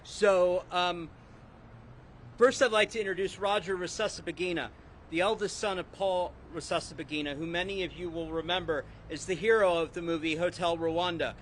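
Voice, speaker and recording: A man introducing speakers at an outdoor press event, brisk with many fillers. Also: high-pitched, a bit bright, a little hiss or hum. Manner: brisk, filler-laden emcee delivery. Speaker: event organizer and emcee. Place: outdoors on a city street.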